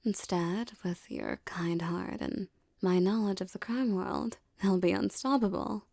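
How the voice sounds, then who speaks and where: A tough young mafia boss girlfriend acted by a woman in an ASMR romance roleplay. Her voice is dark and mellow with a gravelly fry, recorded close and dry. She talks intimately, mixing slangy toughness with doting, teasing tenderness. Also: medium pitch, measured pace, no room sound. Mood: dreamy, assured.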